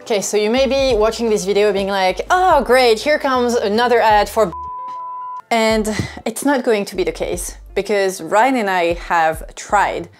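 A woman's voice: medium pitch, unhurried. Candid woman vlogger over background music. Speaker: sailing vlogger. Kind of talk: casual vlog talk to camera. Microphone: clip-on wireless lapel mic.

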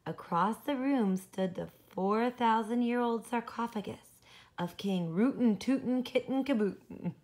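A woman reading a children's story aloud, deliberate and explanatory, with simple asides for young listeners. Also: fairly high pitch, darkish tone. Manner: slow, deliberate, explains pictures and words to children.

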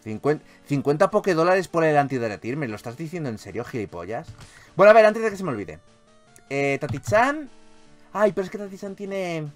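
Man, Spain accent, slightly high voice, very lively intonation, casual gaming YouTuber, informal and sweary. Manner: informal, expressive, peppered with swearing. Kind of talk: casual Let's Play commentary.

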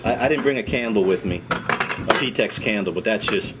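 A middle-aged man, a ski school director, demonstrating a hands-on task in a wood-paneled workshop, talking in a relaxed, chatty way and repeating words as he works. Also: fairly low pitch, normal speed. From United States. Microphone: camera mic on a workbench. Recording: camera audio with steady background noise.

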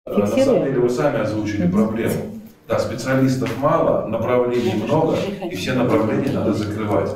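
A man's voice: mid-range voice, lively intonation, brisk, a crowd in the background. A middle-aged chief physician speaks plainly and practically at a meeting. He sounds far from the mic. The room gives heavy echo. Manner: matter-of-fact, practical. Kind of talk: unscripted statement at a meeting. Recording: echoey room, distant mic.

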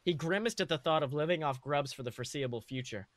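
A man with a slightly high voice narrates a story as a podcast host. He sounds amused by what he reads. The recording is close and dry. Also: fast talker, a bit bright, dry recording. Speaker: podcast host reading fan fiction aloud.

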